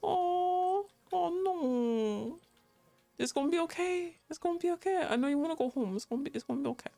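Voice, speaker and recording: Playful game streamer with lively, swooping pitch. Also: a little fast, gravelly, faint music behind. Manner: playful, reacts aloud, chatting with viewers. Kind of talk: casual livestream gameplay commentary.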